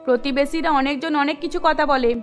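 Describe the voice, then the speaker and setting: A woman's voice: high-pitched, steady tone, fairly quick, soft background music, pitched below the performer's own voice, lightly acted. A woman voicing an exasperated middle-aged mother of twin daughters in a cartoon, with a weary, nagging, motherly manner. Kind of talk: cartoon story character acting.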